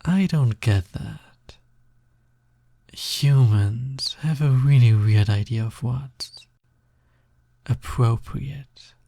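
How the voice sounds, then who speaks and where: A man plays a snake demon roommate in an intimate audio roleplay, flirty and pushy. His voice is slow and mellow, with a gravelly creak at the edges. He teases and coaxes in a casual, breathy way. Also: mid-range voice, no room sound, clean studio sound, heavily acted. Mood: coaxing, teasing. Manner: teasing, coaxing, casual.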